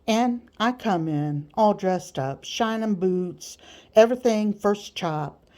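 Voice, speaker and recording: A woman's voice: mid-range voice, takes it slowly, dark tone, close to an everyday voice. A woman voicing a sly, boastful male slave catcher with rustic grammar in an audiobook. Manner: rustic nonstandard grammar, gleefully bragging. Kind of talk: audiobook character voice.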